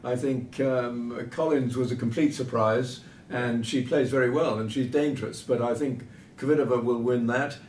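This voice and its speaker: A man giving off-the-cuff tennis analysis, stating emphatic opinions in measured short phrases. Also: fairly even intonation, darkish tone. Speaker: tennis pundit. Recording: roomy, distant mic with background rumble.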